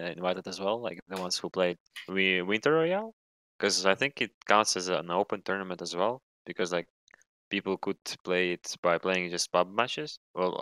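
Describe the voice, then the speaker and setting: Young adult male gamer from Latvia; low pitch, crisp delivery, rambling casual answers. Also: pushed and bright. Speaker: professional esports player. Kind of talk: casual interview chat.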